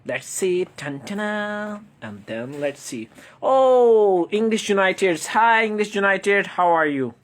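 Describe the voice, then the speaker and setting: Man, Pakistani accent, fairly high pitch, effusive, repetitive phrasing, casual live host. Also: expressive, slow pace, fairly bright tone. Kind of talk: casual livestream interview.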